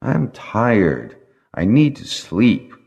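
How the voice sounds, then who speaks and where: A man's voice: fairly low pitch, huge pitch swings, drawn-out words, gravelly, darkish tone, in a large hall, voice pushed up, heavily acted. A man voicing a blunt, grumpy but loyal male dragon in an audiobook story. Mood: weary. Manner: blunt, displeased.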